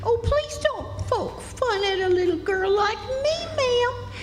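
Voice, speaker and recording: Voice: very high voice, expressive, slow, heavily acted. An actor playing an evasive young runaway boy with a folksy American Southern accent in a live radio drama. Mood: nervous, evasive. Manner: folksy, rustic dialect. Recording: echoey hall, music behind.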